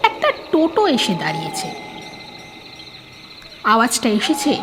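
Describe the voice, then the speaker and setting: A woman's voice: high voice, noisy background. A woman narrating a scripted horror story in a dramatic storytelling style. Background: prominent background music.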